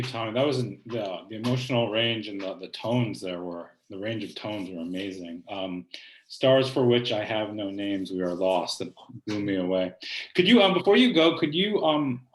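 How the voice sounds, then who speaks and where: A man hosting a poetry reading over a video call, chatting casually with halting restarts. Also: on the low side, lively intonation, echoey room, poor audio. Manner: rambling, halting, with fillers. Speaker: host. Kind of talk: casual chat between readings.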